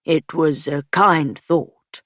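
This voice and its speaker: A woman voicing a towering, short-tempered bear-like cook who snaps and grumbles in a deadpan way. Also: low voice, slow, no room sound, clean studio sound, voice pushed down. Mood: grudging.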